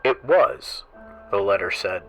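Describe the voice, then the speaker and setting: A man hosting a horror story show, reading scripted tales in a slow, theatrical voice that often drops into hushed whispers. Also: lowish voice, animated, gravelly, faint music behind. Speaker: horror show host and narrator.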